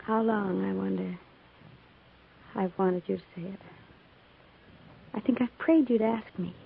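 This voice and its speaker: An American actress playing a tender, resolute frontier woman with wistful longing, measured and emotional, in 1950s radio drama style. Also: a little high, creaky. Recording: tinny, hissy 1950s radio recording.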